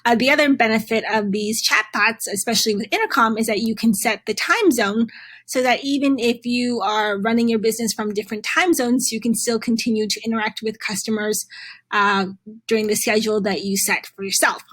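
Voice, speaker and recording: A woman presenting a step-by-step software tutorial in a conversational way, with halting phrasing and frequent pauses. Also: a little high, fairly even intonation.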